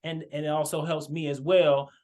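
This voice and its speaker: A man's voice: a little high, little pitch movement, moderate pace, darkish tone. A man hosting a marriage podcast over a video call, speaking earnestly with many fillers and restarts. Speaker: podcast host. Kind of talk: casual video-call chat.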